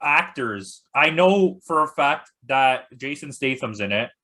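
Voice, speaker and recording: A man with a medium-pitched, sharp and bright voice talks as a guest critic on a casual podcast. He is blunt in his opinions and jokes dryly. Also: expressive, a little slow. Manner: blunt, dry joking. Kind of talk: casual chat.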